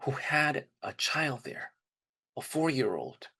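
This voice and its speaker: Husky-voiced man telling a personal story. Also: mid-range voice, huge pitch swings, slow, bright, forceful tone. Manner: informal, reflective, acts out quoted dialogue. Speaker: performer of a dramatized first-person narrative. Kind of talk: conversational monologue storytelling, performed live.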